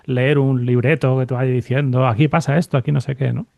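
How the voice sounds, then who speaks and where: A man speaks in a mellow, relaxed voice at a medium pitch. He interviews conversationally, repeating words as he thinks aloud. The sound is close and dry. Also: fairly quick, vocal fry, no echo. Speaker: podcast host, university radio lecturer.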